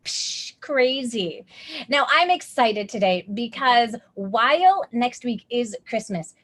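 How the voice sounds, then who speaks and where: A woman hosts an online tutoring session with bubbly, gushing enthusiasm. Her voice sits a little high, and her talk runs thick with filler words and excited asides. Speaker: host of online tutoring masterclass. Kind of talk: enthusiastic webinar hosting.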